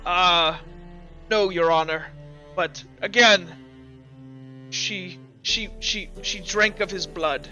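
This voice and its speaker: A man voicing a humble, flustered old vampire woman who gives halting, dramatic, accusing testimony in a tabletop game. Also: sits high, sharp tone, soft background music, heavily acted. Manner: halting, repeats words, dramatic.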